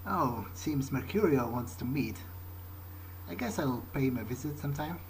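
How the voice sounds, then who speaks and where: Casting: man as tentative, awkward vampire for game roleplay, medium pitch, understated, clearly noisy recording. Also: lively intonation, normal speed, lightly acted. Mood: wry musing. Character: hesitant, awkward, protective male vampire, musing aloud. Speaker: gamer playing in character. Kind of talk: improvised in-character video game roleplay.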